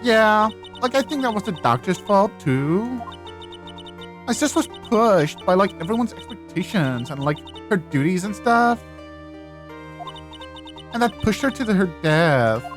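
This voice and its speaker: A woman's voice: mid-range voice, sing-song, a little slow, long pauses, soft background music, voice pushed up. A performer voicing a ditzy young valley-girl woman who drawls defensively in a video game dub. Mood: sad, weary. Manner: filler-heavy valley-girl drawl, peppered with "like".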